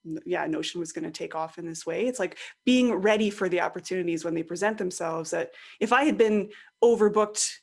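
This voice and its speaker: A woman's voice: lowish voice, a little fast, gravelly, hardly any pauses. A woman speaking as a podcast guest in a casual conversation, reflective and candid, often voicing her own thoughts as little quotes. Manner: reflective, candid, illustrates points with quoted self-talk.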